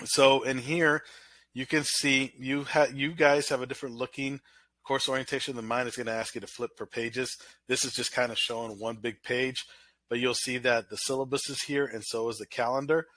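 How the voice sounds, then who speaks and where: A college instructor with a bright, nasal voice, recorded close and dry on a computer mic. He rambles casually through a course walkthrough, full of ums and restarts. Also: medium pitch, fairly even intonation, normal speed, dry recording. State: nasal from illness.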